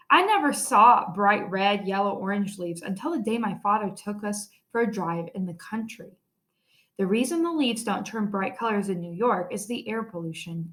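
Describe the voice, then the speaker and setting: A woman's voice: medium pitch, fairly bright tone. A woman teacher reading a children's story aloud expressively, stressing key words.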